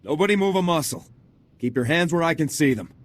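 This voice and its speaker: An actor playing a firm, no-nonsense military squad commander in a video game, authoritative and matter-of-fact. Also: male voice, fairly high pitch, animated, a little fast, close to an everyday voice. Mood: stern, commanding.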